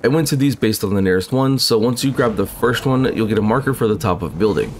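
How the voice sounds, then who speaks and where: A man talks through a game guide at a brisk clip, with a lowish voice. He gives step-by-step directions plainly on a close voice-over mic. A faint noise floor sits behind him. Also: lively intonation. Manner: brisk, instructive, matter-of-fact. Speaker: gaming channel host. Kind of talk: walkthrough narration.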